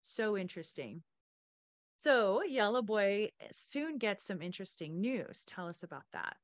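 A woman's voice: mid-range voice, expressive, measured pace, no echo. A woman hosting a storytelling podcast, chatting casually about the story and introducing readings. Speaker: podcast host.

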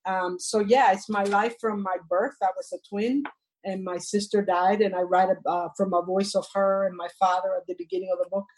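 An older woman from Cuba, a Spanish native speaker, talking as a poet guest and reading her poem aloud in an earnest, measured way. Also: mid-range voice, level tone, a little mellow, poor audio. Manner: earnest, measured recitation with repeated refrains. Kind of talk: interview and poetry reading.

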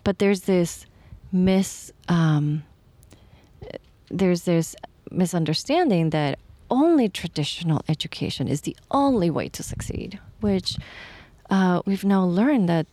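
Low, mellow-voiced woman on a podcast. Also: expressive, slow pace, no echo. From Ecuador. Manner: thoughtful, with false starts and frequent agreement. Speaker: podcast co-host. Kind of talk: casual podcast conversation.

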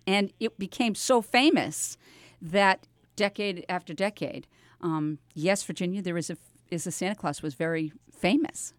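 A woman's voice: fairly low pitch, no echo. Older American woman hosting radio, dry studio sound. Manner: chatty, conversational, addresses listeners directly. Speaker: radio host. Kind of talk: casual radio talk show hosting.

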